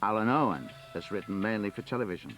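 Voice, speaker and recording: A man reads an essay aloud in a low voice with lively, varied intonation. Faint music sits behind the reading. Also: quick pace. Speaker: reader of written criticism.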